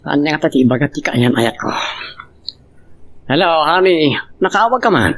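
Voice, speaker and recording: Animated Ilocano man in a love drama, acted. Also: on the high side, normal speed. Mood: casual, sly. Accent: Ilocano Filipino. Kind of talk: amateur Ilocano radio-style drama acting.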